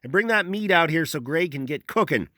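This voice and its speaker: A man voicing a loud, hot-tempered, hungry male ranch worker who shouts and threatens crudely. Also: fairly high pitch, animated, brisk, dry recording, studio quality, pitched above the performer's own voice. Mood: angry.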